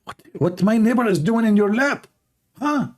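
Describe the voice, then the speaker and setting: A man voicing a mock husband who demands to know why the neighbor is in his wife's lap, in a raised, squeaky tone. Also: expressive, normal speed, a little mellow. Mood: indignant. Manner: squeaky, exaggerated disbelief. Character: indignant husband questioning his wife, mocking.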